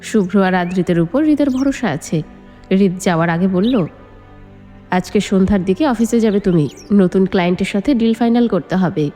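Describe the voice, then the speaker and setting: A woman's voice: medium pitch, dark tone. A woman reading a romance novel aloud as a dramatic story narrator. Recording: long reverb, music underneath. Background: background music.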